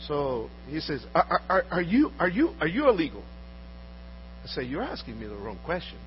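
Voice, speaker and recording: A man's voice: a little high, huge pitch swings, moderate pace. A man from Honduras earnestly telling personal stories, often repeating words and restarting as he talks. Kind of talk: storytelling talk. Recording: dull audio with faint mains hum.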